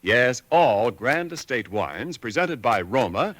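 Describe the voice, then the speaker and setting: A man announcing and reading sponsor copy on a 1940s radio show, polished and smooth. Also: sing-song, slow, a bit bright, no echo. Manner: polished, smooth commercial pitch. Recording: old 1940s radio broadcast.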